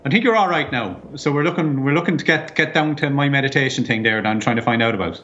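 A man's voice: mid-range voice, fast talker, fairly bright tone, highs cut off, natural voice. A man playing a crude, boozy rural Irish caller from Offaly who swears heavily and rambles. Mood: rambling.

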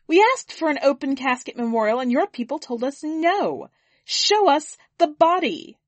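A woman voicing an angry woman in a church crowd, loudly demanding answers. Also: high-pitched, expressive, moderate pace, no echo, pitched above the performer's own voice. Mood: outraged. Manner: loud, demanding.

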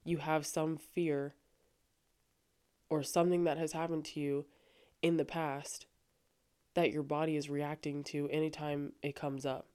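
Woman, young adult, low voice, dark warm tone, earnest casual podcast talk, many fillers. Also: level tone, measured pace, long pauses, clean tone. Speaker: podcast host. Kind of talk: faith podcast monologue. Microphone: studio microphone.

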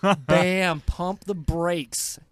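A woman's voice: fairly low pitch, fairly even intonation, extremely slow, crowd noise. A woman hosting a casual film review chat, speaking emphatically and with strong opinions. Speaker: film review podcast host.

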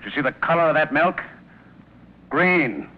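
An actor playing a level-headed captain held prisoner, earnest and reasoning in a dramatic 1950s radio style. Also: male voice, fairly high pitch, animated, moderate pace, lightly acted. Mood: wary. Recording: muffled, noisy old radio broadcast.

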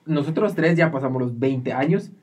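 A man's voice: mid-range voice, expressive, normal speed, a little mellow. A man in his twenties with a Guatemalan accent, chatting casually and jokily as a podcast host. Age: 20s. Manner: informal, jokey. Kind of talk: casual chat.

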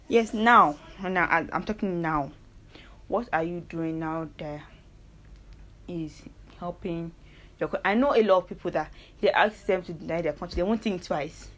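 A woman's voice: lowish voice, unhurried, pauses often, a bit bright. A young woman with a Nigerian accent, hosting a casual solo podcast and talking earnestly, rambling and correcting herself as she argues her point. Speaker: podcast host.

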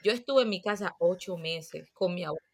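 Woman telling lively stories. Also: on the low side, quick pace. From Colombia. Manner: lively storyteller, mixes Spanish and English. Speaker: podcast guest. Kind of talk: casual interview chat.

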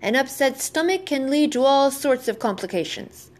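A woman's voice: sits high, animated, moderate pace, darkish tone, pitched above the performer's own voice. Woman playing an emphatic, disapproving storybook doctor. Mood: stern advice. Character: tall thin older male doctor, gravely reproving.

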